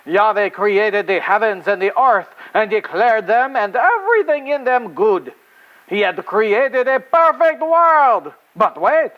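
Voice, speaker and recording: A man's voice: very high-pitched, dull audio, full character voice. A man voicing a laid-back old dude rabbit reporter puppet who hosts a puppet show, with a goofy, showy manner and an exaggerated fake French accent.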